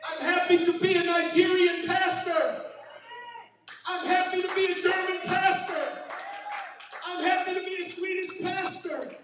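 Male pastor, very high voice, fervent shouting sermon, captured from afar in a booming hall. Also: level tone, unhurried, a crowd in the background, background noise. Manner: impassioned preaching, often shouting. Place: church sanctuary. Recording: very echoey hall, mic far off.

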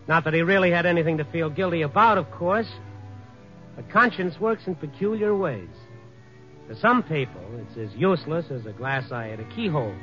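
An actor playing a steady, wry, hard-boiled newspaperman who narrates a 1950s radio noir drama. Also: fairly high pitch. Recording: dull vintage radio recording, music behind.

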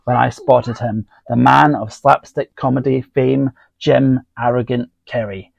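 Man reading stories, gravelly voice. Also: mid-range voice, fairly even intonation, unhurried, no echo. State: voice getting husky. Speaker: podcast host. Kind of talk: reading scripted stories aloud, then casual aside.

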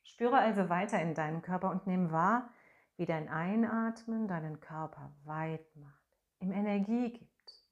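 Slow, soothing woman guiding breathwork. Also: lowish voice, muffled recording. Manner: slow, soft, soothing instructions with long pauses; hums and sings sustained tones as demonstration. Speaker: voice and breathing guide. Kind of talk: guided voice meditation.

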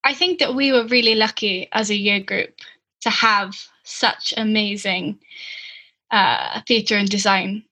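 A woman's voice: mid-range voice, steady tone, measured pace, bright, forceful tone, low-quality recording. A young woman from Llanelli with a Welsh accent, chatting and asking questions in an enthusiastic way. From Wales. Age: young adult. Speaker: interviewer. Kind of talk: casual chat.

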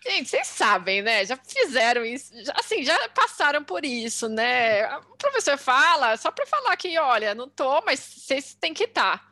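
Brazilian woman in her twenties, podcast co-host, high voice, dry close sound. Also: sing-song, moderate pace, few pauses, pushed and bright, no echo. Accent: Brazilian Portuguese. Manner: laughing often, chatty anecdotes. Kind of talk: casual chat, storytelling.

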